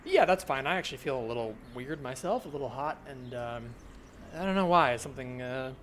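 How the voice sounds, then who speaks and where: A man's voice: medium pitch, animated, a little fast, fairly bright tone, close to an everyday voice. A man playing an awkward, flustered car salesman in improvised comedy, hesitant and eager to oblige. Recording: podcast with faint background noise.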